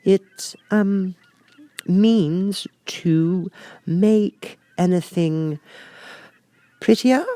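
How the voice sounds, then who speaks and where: A man's voice: sits high, very slow, long pauses, mellow, no echo, voice pushed up. Man putting on a prim, curious little girl. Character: curious young girl, polite but easily indignant. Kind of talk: storybook character voice.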